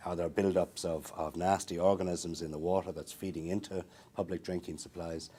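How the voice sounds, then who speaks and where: Low-voiced man explaining at length. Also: flat delivery, a little fast, warm tone, slightly rough. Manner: thoughtful, many fillers and restarts, long building sentences. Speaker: marine research interviewee. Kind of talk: explanatory interview talk. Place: small indoor interview room.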